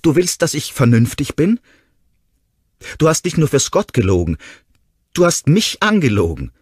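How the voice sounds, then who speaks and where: Ein Mann spricht eine junge Frau, verletzt und wütend, mit schrillen, flehenden Ausbrüchen. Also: male voice, wildly swooping pitch, normal speed, breathy edge, no room sound, clean studio sound, full character voice. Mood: furious. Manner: shrill, pleading outbursts. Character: young woman, hurt and furious.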